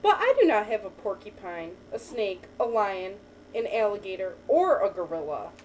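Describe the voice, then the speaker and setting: A woman teacher reading a picture book aloud to a child, pausing to ask questions and praise the answers. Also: medium pitch, very animated, darkish tone. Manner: patient, encouraging, asks and praises. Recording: distant mic with faint background noise.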